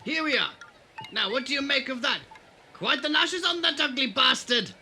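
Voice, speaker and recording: An actor playing a flashy, evasive bar owner at a beach resort in a fan audio drama, expressive in delivery. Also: male voice, extremely high pitch, normal speed, a little hiss or hum, full character voice. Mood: brash.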